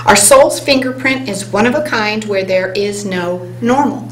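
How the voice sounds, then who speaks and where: A woman on a hypnosis conference panel, speaking earnestly and reading an inspirational passage aloud, slowing down on key words. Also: medium pitch. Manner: earnest, inspirational, speeds up and slows for emphasis. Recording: roomy hall sound, faint hum.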